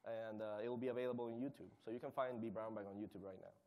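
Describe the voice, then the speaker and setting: A man speaking as a panelist about a volunteer community project, in an energetic, conversational way. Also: fairly low pitch, very fast. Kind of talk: conference panel talk.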